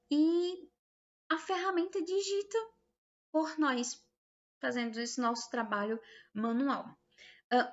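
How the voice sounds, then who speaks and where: Female tutorial instructor, Brazilian accent, higher-pitched, hesitant and explanatory, informal delivery, muffled low-fidelity audio. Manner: hesitant, explanatory, checks understanding with short tags. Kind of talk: informal software tutorial, screen demonstration.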